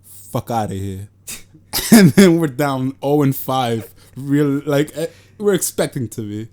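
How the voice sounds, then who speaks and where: Cara de vinte e poucos, sotaque de Nova York, papo solto de podcast, brincalhão e boca-suja. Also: male voice, medium pitch, animated, measured pace, dark tone. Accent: New York. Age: 20s. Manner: joking, loose, swears freely. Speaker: podcast co-host. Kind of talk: casual chat.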